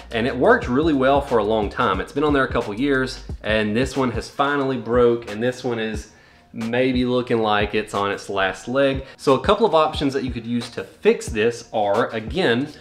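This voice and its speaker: A young man hosting a home gym channel, explaining do-it-yourself equipment in a practical, matter-of-fact way in a garage gym. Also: medium pitch. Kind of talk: casual how-to explanation. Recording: echoey room with faint music.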